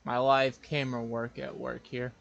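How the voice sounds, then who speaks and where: A man's voice: medium pitch, flat delivery, moderate pace, fairly bright tone, muffled recording. A man doing casual live game commentary as a streamer, musing to himself in short remarks. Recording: live stream with clear background noise.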